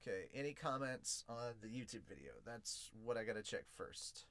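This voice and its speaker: A man hosting a podcast in an excitable, informal, slangy way, chatting casually as he reviews and reads out comments. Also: medium pitch, moderate pace, dry recording.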